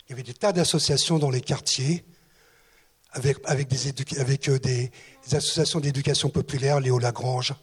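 A man's voice: on the high side, husky. A man who once led a town's youth service, telling his story with many hesitant fillers, noting his accent is not southwestern. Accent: not southwestern French. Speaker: former municipal youth director. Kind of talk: recollections before an audience.

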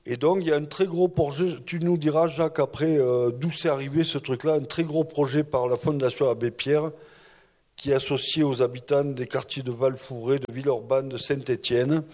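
A man who organized a festival, recalling its early years in a halting, hesitant way before a gathering. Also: medium pitch, steady tone, darkish tone. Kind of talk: recollections before an audience.

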